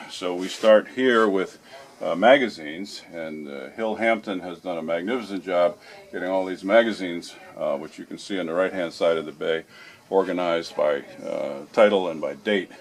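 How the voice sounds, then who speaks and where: Man, very low voice, halting and methodical delivery, informal library tour narration. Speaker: library guide.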